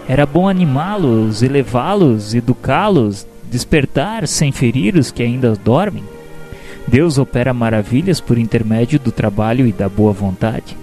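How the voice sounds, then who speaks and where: A man playing an earnest, humble spirit apprentice who tells his story in solemn, formal, gentle narration for a radio drama. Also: medium pitch, lively intonation, unhurried. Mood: solemn, reflective. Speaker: narrator and protagonist. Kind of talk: dramatized first-person scripted narration. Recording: soft music behind narration.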